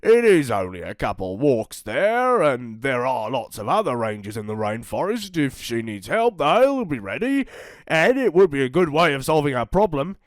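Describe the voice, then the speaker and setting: An earnest, fussy park ranger from a children's story, apologetic and a bit hesitant, as a narrator puts him on. Pitched above the reader's own voice and a touch louder, with a dry, close sound. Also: big pitch swings, moderate pace, no echo, close to an everyday voice.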